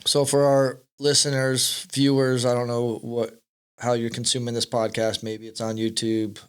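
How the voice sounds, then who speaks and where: Middle-aged male podcast host, playful and teasing, smooth clean tone. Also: medium pitch, monotone, moderate pace. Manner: joking, ribbing his guest. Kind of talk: casual podcast chat. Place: podcast studio in California.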